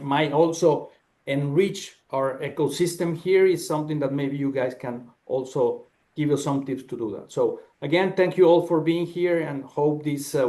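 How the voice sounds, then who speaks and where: A man's voice: mid-range voice, warm tone. A man, hosting and giving formal welcome remarks on a video call. He halts often as he searches for words. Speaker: host of the session.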